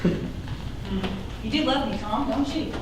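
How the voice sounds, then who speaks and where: An actress playing a fierce, fed-up, foul-mouthed farm wife in a live stage play, blunt and insistent. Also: female voice, a little high, takes it slowly. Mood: wounded, pressing. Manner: blunt, insistent, theatrically projected. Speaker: stage actor. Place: indoor theater stage, recorded from afar. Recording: very echoey theater, distant mic, loud noise.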